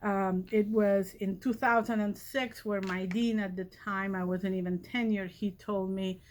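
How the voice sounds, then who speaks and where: A woman's voice: mid-range voice, steady tone, measured pace, slight background noise. A woman, a college vice president telling her personal story in a reflective, conversational way. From Catalonia, Spain. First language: Catalan. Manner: reflective, conversational, many fillers. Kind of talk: personal origin story talk.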